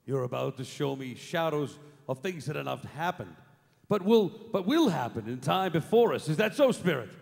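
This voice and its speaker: A man playing a fearful old miser in a staged radio drama, anxious, halting and imploring. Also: on the high side, sing-song, vocal fry, a little mellow. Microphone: stage mic on stand. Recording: reverberant theatre hall.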